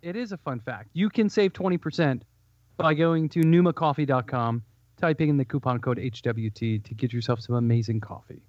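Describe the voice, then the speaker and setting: A man's voice: medium pitch, fairly quick, darkish tone, no echo, dull audio. A man with a Chicago Midwest accent co-hosting a casual podcast, teasing and stubborn. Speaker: podcast co-host. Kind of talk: casual chat.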